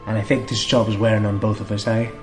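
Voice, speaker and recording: An actor playing a devout, hot-headed but warm priest-warrior with an in a fantasy audio drama. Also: male voice, on the low side, flat delivery, fast talker, close to an everyday voice. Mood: apologetic, gentle. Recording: music behind the voice.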